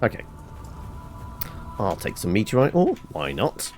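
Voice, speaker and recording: A man's voice: fairly low pitch, huge pitch swings, a little slow, darkish tone, faint music behind. A man giving casual video game commentary, rambling and thinking aloud to himself. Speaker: gameplay streamer.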